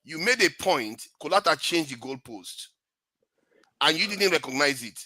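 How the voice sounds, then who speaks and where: A Nigerian man on a panel talk, speaking forcefully and with a mocking edge. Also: on the high side, lively intonation, moderate pace, no room sound, studio quality. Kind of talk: heated panel discussion.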